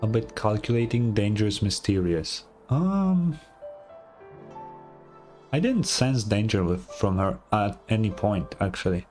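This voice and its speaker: A man's voice: fairly low pitch, very animated, unhurried, a little mellow. A man streaming a game, giving casual, offhand reaction commentary with blunt swearing over game music.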